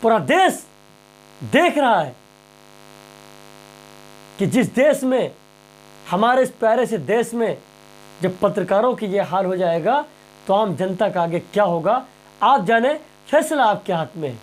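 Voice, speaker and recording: A man speaks as a news commentator in a high voice. He is emphatic and impassioned, piling up repeated phrases and rhetorical questions for his viewers. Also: big pitch swings, unhurried, pauses often, low-quality recording. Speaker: news presenter.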